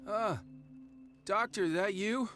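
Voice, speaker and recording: An actor playing a dazed, injured island man in a video game, muttering and rambling in a halting, confused way. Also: high-pitched, fairly bright tone, faint music behind. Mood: dazed, evasive. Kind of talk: video game cutscene acting.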